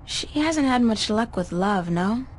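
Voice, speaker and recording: A woman's voice: medium pitch, lively intonation, unhurried, slight background noise, natural voice. A young woman voicing a weary, wry, easygoing art student in a video game, with a casual, understated delivery. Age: young adult. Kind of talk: conversational video game voice acting.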